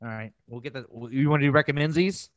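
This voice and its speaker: A man in his thirties co-hosting a podcast, trading casual jokes and quick interjections. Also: medium pitch, no room sound. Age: 30s. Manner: joking, interjecting reactions. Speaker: podcast co-host. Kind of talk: casual comedic banter.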